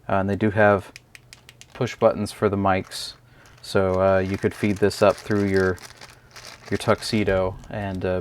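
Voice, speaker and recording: A man's voice: lowish voice, a little hiss or hum. A man giving a casual, unscripted hands-on product review, explaining step by step in a hesitant way.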